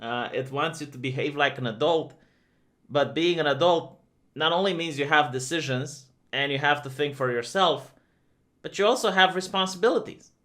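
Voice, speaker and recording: A man's voice: fairly high pitch, normal speed, a bit bright. A man from Romania, giving opinionated vlog commentary in a conversational way, with lots of "uh" and "um". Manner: conversational and opinionated, many fillers. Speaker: video commentator.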